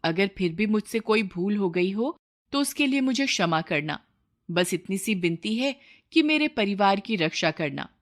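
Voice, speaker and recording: A woman's voice: mid-range voice, lively intonation, moderate pace, natural voice. A woman voicing a humble, selfless village man in a moral story, praying in earnest, solemn pleas. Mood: sad, resigned.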